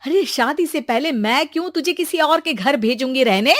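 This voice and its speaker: A woman voicing a stern middle-aged mother who scolds her daughter in a loud, emphatic way. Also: sits high, animated, moderate pace, no room sound, studio quality, voice pushed up. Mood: outraged.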